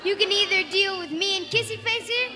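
A woman's voice: very high voice, a little fast, over music. A woman voicing a brash, streetwise young urchin hustler with slangy, cocky patter in an audio comedy. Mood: streetwise, brisk. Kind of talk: live audio comedy acting.

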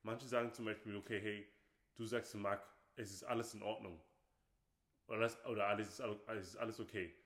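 Brief: man, lowish voice, opinionated podcast co-host, repeats phrases, echoey room. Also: steady tone, a little fast, fairly bright tone. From Nigeria. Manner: emphatic, repeats phrases, often says "okay, hey". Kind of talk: casual opinionated chat. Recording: roomy echo.

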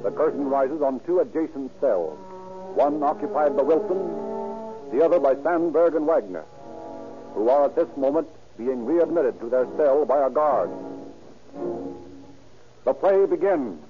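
A man's voice: medium pitch, animated, measured pace, pauses often, over music. A man giving formal, declamatory scripted narration as the narrator of an old radio drama. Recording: tinny, narrow-band old radio recording.

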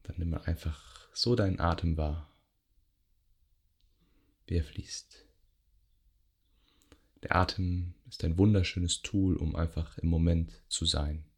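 Very deep, slow-speaking male meditation guide. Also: fairly even intonation, husky. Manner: gentle, unhurried, with long pauses between short phrases. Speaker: yoga nidra meditation guide. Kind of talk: guided meditation, soothing relaxation instruction.

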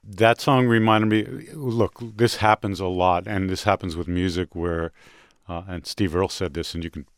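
Low-voiced middle-aged or older guy hosting a music show, pensive halting chat, dry studio sound. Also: steady tone, clear, resonant tone, dry recording. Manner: reflective, halting phrasing. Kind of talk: casual personal storytelling.

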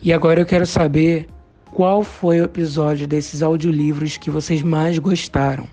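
A man's voice: fairly high pitch, level tone, moderate pace, warm tone, faint music behind. A Brazilian-accented man giving prepared commentary as a podcast panelist.

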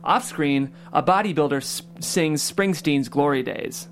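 A reader narrating the stage directions of a comedy script table read, in an even, wry manner. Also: mid-range voice, measured pace, a little hiss or hum.

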